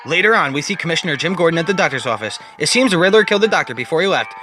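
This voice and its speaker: A man's voice: a little high, expressive, rapid-fire, pushed and bright, soft background music. A man reading scripted narration for a dramatic retelling of a comic-book story.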